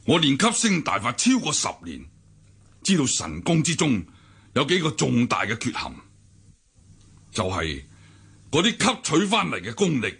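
Gravelly, imposing old cult master. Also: male voice, medium pitch, wildly swooping pitch, a bit bright, exaggerated voice. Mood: imposing, persuasive. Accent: Hong Kong Cantonese. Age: older. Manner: deliberate, grandly persuasive, faintly menacing. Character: commanding older martial cult leader, cunning and imposing. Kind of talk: Cantonese radio drama acting.

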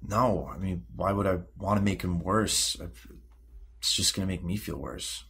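An actor playing a defensive, moody sixteen-year-old American high school boy in an audio drama, halting and slangy. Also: creaky, a bit bright, a little hiss or hum. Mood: reluctant, grumbling. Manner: halting, repeating words, slangy teenage speech. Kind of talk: naturalistic audio drama dialogue.

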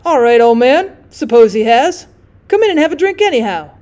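A woman voicing a jocular traveling salesman who grows anxious, talking loudly and high. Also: big pitch swings. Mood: breezy. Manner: chatty, quick to grow anxious.

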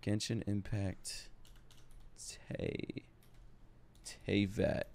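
A man doing casual live-stream reaction commentary to game trailers, excitable, slangy and profane. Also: on the low side, extremely slow, gravelly. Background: game trailer music.

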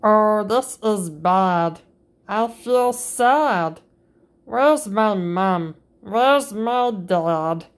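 A woman reading a picture book aloud to children in a slow, sing-song manner, jumping up high on the playful sound words. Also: animated. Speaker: storybook reader.

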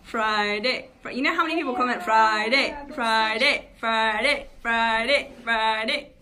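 A woman's voice: a little high, unhurried, crowd noise. A young woman teasing her sister in casual chat, giggly and joking.

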